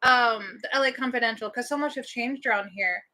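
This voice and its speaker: Bright-voiced American woman chatting on stream. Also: fairly high pitch, a little fast. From Missouri, US. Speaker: live stream co-host. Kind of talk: casual chat, answering viewers' comments.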